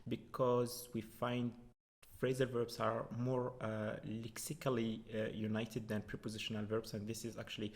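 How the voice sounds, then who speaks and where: A young man with a Moroccan accent, a native Arabic speaker, explaining his research in a halting, hesitant way as an interview guest. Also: mid-range voice, little pitch movement. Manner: hesitant, halting, with repeated words. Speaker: doctoral student guest.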